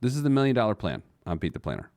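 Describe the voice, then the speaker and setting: A man's voice: lowish voice, huge pitch swings, rapid-fire, noisy background. A man hosting a personal finance show, giving conversational advice in an emphatic way, often posing rhetorical questions.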